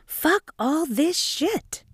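A woman voicing a furious, bratty ten-year-old boy who shouts at his mother in a storybook tale. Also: sits high, very animated, drawn-out words, dry recording, studio quality, voice pushed up, full character voice. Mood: furious tantrum.

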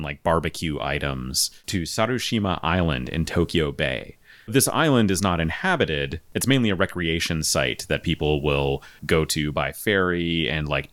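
A man hosting a casual podcast, chatting easily and reading out listener topics and news items. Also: deep voice. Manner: relaxed, conversational, reads out topics. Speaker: podcast host.

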